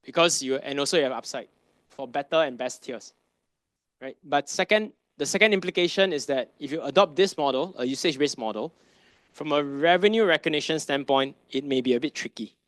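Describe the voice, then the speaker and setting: A man's voice: fairly high pitch, animated, fairly quick, a bit bright, dry recording. A man presenting a masterclass in a conversational way, often tagging "right?" and asking rhetorical questions. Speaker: masterclass presenter, finance advisor. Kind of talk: conference lecture.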